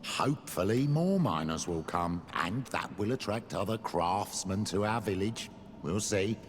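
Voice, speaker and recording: An actor playing a practical, cautious village miner in a fantasy game, speaking in a plain, measured way. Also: male voice, lowish voice, animated, long reverb tail, slight background noise. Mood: matter-of-fact. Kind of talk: game dialogue.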